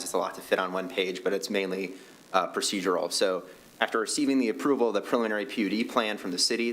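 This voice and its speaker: A man on city staff presenting a report to a commission, explaining procedure and filling his pauses with uhs and ums. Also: sits low, a bit bright, poor audio. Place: city meeting chamber.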